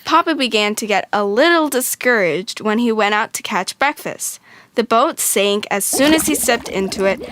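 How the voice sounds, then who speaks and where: A woman narrating a children's storybook from a script, expressive and clearly phrased. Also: on the high side, moderate pace, a little hiss or hum.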